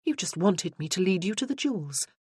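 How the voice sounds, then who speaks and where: A woman's voice: lowish voice, very animated, a little fast, darkish tone, breathy edge, no echo, studio quality, pitched above the performer's own voice, exaggerated voice. A narrator voicing a poised young woman confronting a man who deceived her. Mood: accusing. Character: young heroine, poised and accusing.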